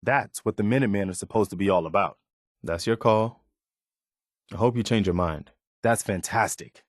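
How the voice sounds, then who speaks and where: A man playing an earnest, weary and idealistic militia officer in a post-apocalyptic video game, speaking in a measured way. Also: on the low side, no room sound, clean studio sound, close to an everyday voice. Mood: hopeful, encouraging. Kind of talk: video game character dialogue.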